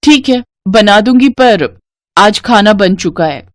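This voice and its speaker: A woman voicing an irritable, stubborn young daughter-in-law in a moral story, with a brisk, complaining manner. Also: mid-range voice, expressive, no echo. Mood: grumbling. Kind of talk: scripted Hindi moral-story cartoon voice.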